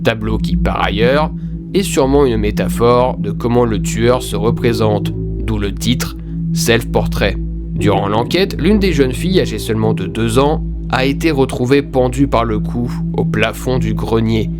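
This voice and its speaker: A man's voice: medium pitch, unhurried. A man giving casual, slangy horror commentary as a YouTube host, talking conversationally. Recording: music behind the voice. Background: music.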